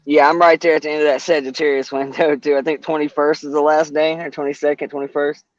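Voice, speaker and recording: A man's voice: on the high side, flat delivery, fast, dry recording, lo-fi sound. A man in his early forties on a livestream panel, rambling and self-mocking, with plenty of swearing. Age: 40s. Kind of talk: casual chat.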